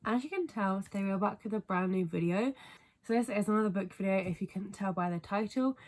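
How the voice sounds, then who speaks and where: A woman's voice: mid-range voice, quick pace. A woman in her twenties with a cold, her voice stuffy and sniffly, chatting casually and rambling to camera about books, with lots of fillers and apologies. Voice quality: stuffy, congested. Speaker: book vlogger. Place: family home bedroom, indoors.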